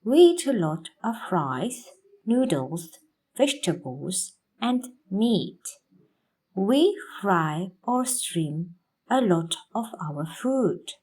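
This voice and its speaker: A woman English teacher reading a practice passage aloud for learners, enunciating deliberately word by word. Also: mid-range voice, very animated, a little mellow.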